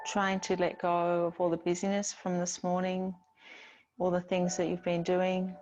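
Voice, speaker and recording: A woman teaching yoga, giving gentle, soothing, unhurried guided instruction with pauses between phrases. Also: on the low side, completely flat delivery, poor audio.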